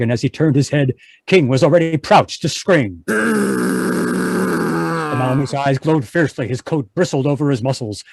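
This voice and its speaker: A man's voice: mid-range voice, a little mellow, no echo, close to an everyday voice. Grave, suspenseful radio narrator, played by a man. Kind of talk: dramatic old-time radio narration.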